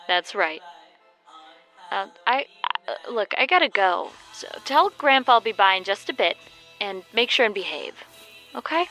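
An actress playing a caring, hurried young mother in an audio drama, coaxing and reassuring her child. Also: mid-range voice, big pitch swings, pushed and bright, faint music behind, heavily acted, low-quality recording. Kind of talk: horror audio drama acting.

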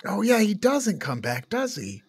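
A man's voice: sits high, wildly swooping pitch, measured pace, slightly rough. A man co-hosting a podcast in casual chat, reacting hesitantly and often repeating himself. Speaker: podcast co-host.

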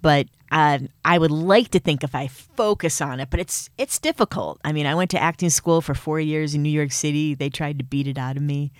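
A man's voice: fairly high pitch, brisk, few pauses, clear, resonant tone, dry recording, clean studio sound. A man from Michigan with a Midwestern accent, a host and actor, chatting eagerly in an interview. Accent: Midwestern American. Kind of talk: casual interview chat.

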